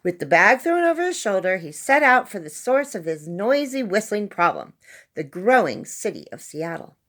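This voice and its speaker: A woman's voice: mid-range voice, big pitch swings, moderate pace, a bit bright. A woman reading a children's story aloud as narrator, expressively and with dramatic emphasis on key words. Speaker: storybook reader.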